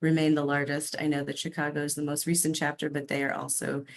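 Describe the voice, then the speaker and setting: Low-voiced woman telling her career story. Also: little pitch movement, fast. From Massachusetts, USA. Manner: chatty, lots of ums, upbeat. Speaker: executive director of a nonprofit, interview guest. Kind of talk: interview storytelling.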